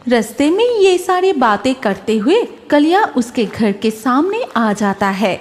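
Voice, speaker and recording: A woman narrates a scripted horror story for a cartoon. The voice is somewhat high and takes its time, with background music clearly audible. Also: sing-song, a little slow.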